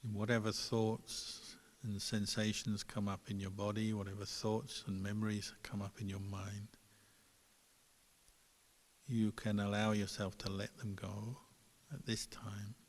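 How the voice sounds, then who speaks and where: Slow, even-voiced man guiding meditation. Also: lowish voice. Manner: calm, unhurried, gentle pauses between phrases. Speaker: Buddhist monk, meditation teacher. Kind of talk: guided meditation instruction. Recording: long hall reverb.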